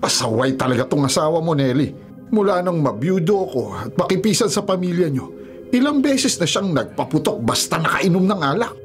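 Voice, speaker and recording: A man's voice: on the high side, sing-song, fairly quick, soft background music. An actor playing a stern, superstitious older widowed father-in-law who scolds and warns in a Filipino radio drama. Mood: disapproving. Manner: scolding, admonishing.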